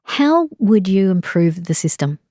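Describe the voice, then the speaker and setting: A woman's voice: medium pitch, big pitch swings, a little slow, mellow, no room sound, clean studio sound. A woman hosting a podcast, asking polite, direct interview questions. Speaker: podcast host.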